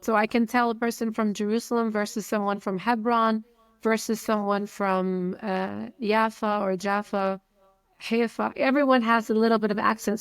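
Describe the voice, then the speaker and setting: A woman's voice: a little high, no room sound. Frank Palestinian-accented woman in her forties. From Saudi Arabia. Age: 40s. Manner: frank, repeats words when starting a thought. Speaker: guest. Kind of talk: casual interview talk.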